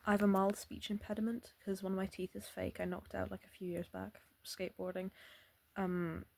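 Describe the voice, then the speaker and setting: A woman's voice: mid-range voice, little pitch movement, normal speed, creaky, darkish tone. A young woman reading a novel aloud, often stumbling and restarting words because of a slight stammer. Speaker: amateur reader.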